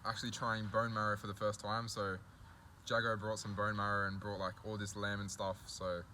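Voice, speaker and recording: A young man hosting a casual chat, relaxed, full of "like" and "you know". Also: fairly low pitch, brisk, a little hiss or hum. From Australia. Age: young adult. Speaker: host.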